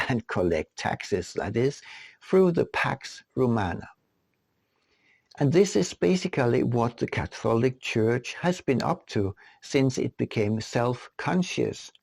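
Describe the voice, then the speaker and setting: A man reading his own written text as an audiobook narrator, in a deliberate, rhetorical manner. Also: medium pitch, gravelly, darkish tone, dry recording. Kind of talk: scripted narration.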